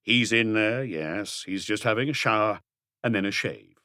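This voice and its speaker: A man voicing a calm, ironic police inspector who questions in an unhurried, probing way in a mystery audiobook. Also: on the low side, no room sound, clean studio sound.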